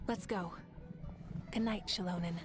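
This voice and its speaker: A woman playing a composed, confident female warrior leader with a playful streak in a video game, speaking in a measured, assured way. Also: on the low side, fairly even intonation, close to an everyday voice. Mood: wry, commanding. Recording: background music under dialogue.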